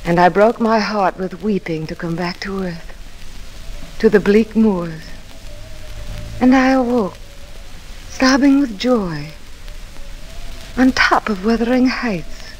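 A woman's voice: medium pitch, slow pace, pauses often, lightly acted. An actress playing a proud, passionate, torn young woman of the moors in a theatrical 1940s radio drama style. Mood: anguished, yearning. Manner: theatrical, impassioned. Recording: noisy old radio recording.

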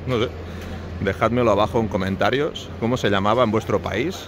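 Man, 40s, from Spain, chatty, asks viewers questions, phone mic, loud market noise. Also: on the low side, steady tone, a little fast. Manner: chatty, asks viewers questions, jokes about his English. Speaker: vlogger, flea market dealer. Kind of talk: casual vlog chat to camera. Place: large covered flea market, outdoors. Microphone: phone at arm's length. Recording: noisy open-air market background.

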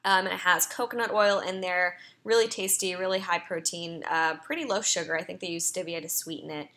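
A woman's voice: on the low side, fairly even intonation, a little fast, pushed and bright. A young woman, a personal trainer, chatting casually in a voice-over as she walks through her online grocery order, with an upbeat manner and frequent ums and uhs.

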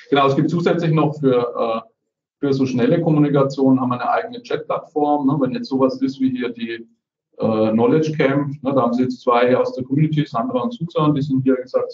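A man on a video call, casually presenting his community platform, dotting his speech with "ne?" and "äh". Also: medium pitch. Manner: chatty, frequent "ne?" tags and "äh" fillers. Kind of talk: casual screen-share presentation. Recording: roomy, distant, dull video-call audio.

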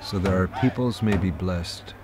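A man with a very deep voice reads a formal apology in a grave, earnest way. His phrasing is slow and unhurried. Instrumental music sits clearly behind him. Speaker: narrator reading an apology. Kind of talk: solemn read statement.

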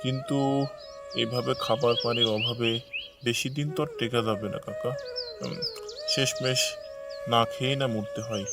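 A village husband in a cartoon folk tale, acted by a man, with a mid-pitched male voice. He talks in a conversational, bantering way with stubborn loyalty to his home. Loud music runs underneath. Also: fairly even intonation, normal speed, long pauses, close to an everyday voice. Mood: concerned. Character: plain-spoken village husband, loyal to ancestral home, teasing. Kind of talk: animated folk tale dialogue.

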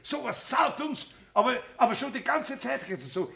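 A man's voice: extremely high pitch, expressive, normal speed, creaky, a bit bright, a little hiss or hum, heavily acted. A man acting a flustered, exasperated master woodturner in a German radio play, with a Bavarian accent, stammering and repeating himself. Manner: stammering, repeating words in agitation.

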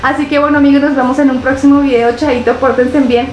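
A young woman with a Colombian accent, chatting casually to camera from her couch as a reaction vlogger, expressive and full of drawn-out fillers. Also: high voice, roomy sound, a little hiss or hum, rough recording. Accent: Colombian Spanish. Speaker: reaction video host. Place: living room, seated on a couch.

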